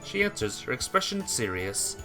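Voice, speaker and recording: Bright, animated visual novel narrator. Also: medium pitch, normal speed, faint music behind. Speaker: amateur visual novel reader. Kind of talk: expressive story narration.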